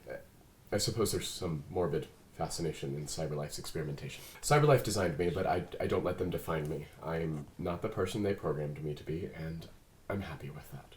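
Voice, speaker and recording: Man playing a deep-voiced, composed android detective. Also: darkish tone, echoey room. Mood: composed, earnest. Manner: precise, measured, formal. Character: calm, articulate male android detective, loyal and proud. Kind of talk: table read of a fan film script. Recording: noisy room recording.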